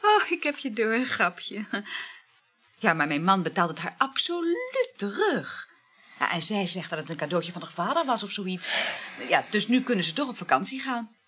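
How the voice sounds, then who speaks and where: An actress playing an impulsive, talkative, lovestruck woman in a naturalistic radio drama, effusive and eager, with a breathy voice. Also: medium pitch, sing-song, moderate pace, sharp tone, exaggerated voice. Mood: chatty. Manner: effusive, full of exclamations.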